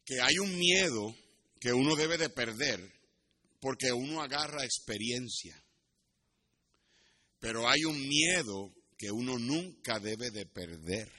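A male pastor with a Puerto Rican accent delivers a sermon in a bright, forceful voice. His pitch sits in the middle range for a man. He explains things like a teacher and sometimes slips into English. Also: animated, slow. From Puerto Rico. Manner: didactic, switches into English asides. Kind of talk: sermon with Bible teaching and personal anecdotes.

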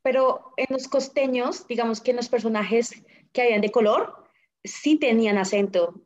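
A woman with a fairly high voice chimes in on a film chat, agreeing and adding short remarks. The sound is dull and lo-fi. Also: normal speed, a little mellow. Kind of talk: casual film review chat.

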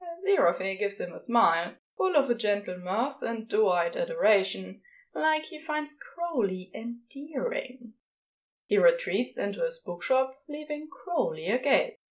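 A woman reading fiction aloud as expressive scripted narration, with dramatic pauses. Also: mid-range voice, rough recording. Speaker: podfic reader.

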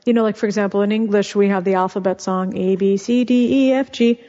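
A woman's voice: medium pitch, fairly even intonation, a little fast, warm tone. A woman guest and author whose first language is Russian, explaining things in a chatty, casual interview manner with frequent restarts. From California. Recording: muffled, with long reverb.

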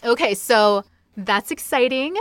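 A woman's voice: fairly high pitch, unhurried, fairly bright tone. A woman hosting a casual interview podcast, speaking as a playful, effusive fan. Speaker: podcast host interviewing an author.

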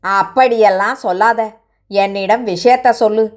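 A woman voicing a kind, fatherly middle-aged man who speaks in a gentle, coaxing way. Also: medium pitch, steady tone, a little slow, lightly acted.

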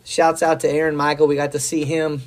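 A hoarse middle-aged man, a pastor co-hosting a podcast, talking in a rambling, folksy and enthusiastic way about faith and church news. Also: fairly high pitch, completely flat delivery, fairly quick. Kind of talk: casual faith talk.

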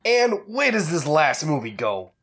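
A round, chipper felt shopkeeper, as a reader puts him on, with bouncy eager patter. The voice is husky and swings widely up and down. The pitch sits in the middle of the range. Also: sing-song, measured pace, a bit bright, full character voice. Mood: cheerful. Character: round, cheerful felt video store owner, middle-aged man. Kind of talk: comedic table read of a kids' show script.

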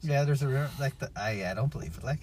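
A man's voice: mid-range voice, fast talker, darkish tone, slight background noise. A man co-hosting a casual podcast, teasing his friend and laughing often. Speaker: podcast co-host.

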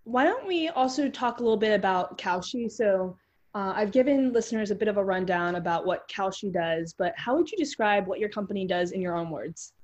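A woman's voice: mid-range voice, expressive, a little fast, a little mellow, dull audio. A woman in her twenties, host of a podcast, reading a scripted intro and interviewing a guest in an upbeat way. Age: 20s.

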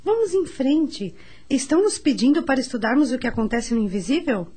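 Casting: actress as courteous young Brazilian-accented mademoiselle, high animated voice, earnest curiosity, radio play. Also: female voice, a little fast, noisy background. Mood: earnest, intrigued. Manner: courteous, refined. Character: beautiful young niece, polite, earnest and curious. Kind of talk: radio drama acting in a period story. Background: music.

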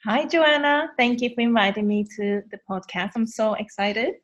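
A woman's voice: a little high, fairly even intonation, moderate pace, muffled recording. A woman from Sweden whose first language is Hakka, a lash artist speaking as a podcast guest, talking freely with many fillers and non-native grammar. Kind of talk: podcast interview.

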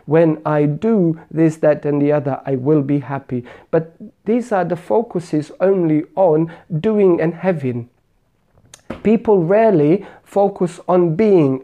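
A middle-aged man delivers a motivational podcast talk. His voice is mellow and warm, and he works through long, earnest lists that circle back on the same point. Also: fairly high pitch. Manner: earnest, repetitive listing, preachy. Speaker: podcast host and mindset coach. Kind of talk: motivational monologue.

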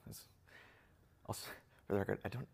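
A man in his twenties giving casual, reflective interview answers in a halting, informal way, often interrupting himself. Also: darkish tone. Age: 20s.

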